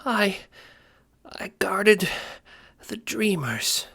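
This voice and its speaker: A scrappy little creature in an audio drama, pitched far up from a deep natural voice. He sounds small and gleeful, with a bright, pushed edge. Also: sing-song, very slow, slightly rough, heavily acted. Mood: dying, weak.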